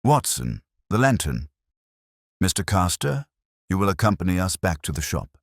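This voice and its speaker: A man voicing a calm, commanding Victorian detective in an audiobook story, speaking in measured, terse aphorisms. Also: low-pitched, dry recording, clean studio sound, pitched above the performer's own voice, heavily acted.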